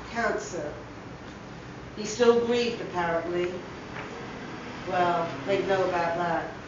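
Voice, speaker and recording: A woman giving a dramatic reading of a short story as scripted narration. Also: a little high. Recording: roomy echo, distant mic, dull hissy sound.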